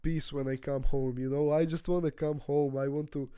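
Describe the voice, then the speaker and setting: Flat, mellow-voiced young man rambling. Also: fairly high pitch, clear, resonant tone, dry recording, clean studio sound. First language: not English. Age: 20s. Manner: rambling, halting, frequent restarts and nervous laughs. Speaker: vlogger. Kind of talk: casual personal vlog monologue.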